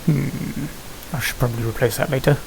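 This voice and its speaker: A man with a mid-pitched voice full of vocal fry commentates casually on a game he is playing. He thinks aloud in halting, muttered phrases. A faint hiss runs under the home recording. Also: fairly even intonation. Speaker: gaming video host. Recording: hissy home recording, background noise.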